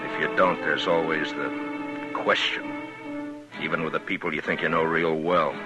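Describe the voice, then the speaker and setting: An actor playing a steady, tough frontier marshal who also narrates, in a calm, clipped, commanding 1950s radio drama style. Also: male voice, extremely low pitch, moderate pace, muffled recording. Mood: reflective. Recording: old radio broadcast with music.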